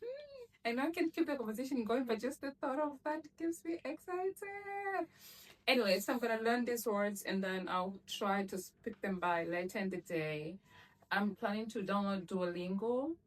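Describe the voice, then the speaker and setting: A woman's voice: a little high, lively intonation, moderate pace, distant mic, poor audio. A young woman chatting casually to camera as a vlogger, laughing often and filling her talk with "like".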